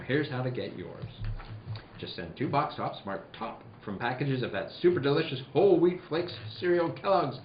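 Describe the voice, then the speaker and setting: A man with a middling pitch hosts and announces in old-time radio fashion, with wry, informal asides. The recording is roomy and muffled, with some noise behind him. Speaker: host and announcer. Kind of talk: casual hosting and hammy 1940s radio ad reading. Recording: echoey room, muffled, noticeable noise.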